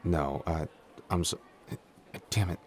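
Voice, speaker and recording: A man's voice: deep voice, fairly even intonation, slow, a little hiss or hum. A man playing a shy, clumsy giant in a roleplay audio, stammering, hesitant and constantly apologetic. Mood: flustered, hesitant. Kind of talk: intimate roleplay audio acting.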